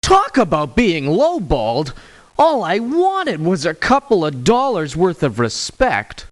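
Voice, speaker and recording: A man playing a proud, wry hired mercenary in a video game, with short, deliberate lines. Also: high voice, huge pitch swings, no room sound, heavily acted. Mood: indignant. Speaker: mercenary character in a strategy game.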